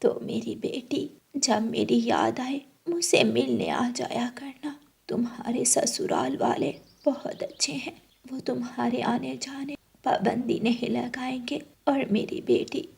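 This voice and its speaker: A woman voicing a gentle, caring ageing mother bird in a cartoon, speaking in a hushed, soothing, advising way. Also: fairly high pitch, lively intonation, slow, creaky, slightly rough, exaggerated voice. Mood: tender.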